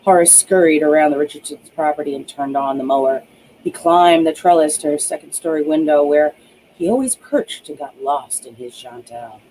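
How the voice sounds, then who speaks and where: Author-narrator, audiobook reading, medium pitch, soft dark tone, slight background noise. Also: normal speed, rough recording. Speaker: author reading own novel aloud.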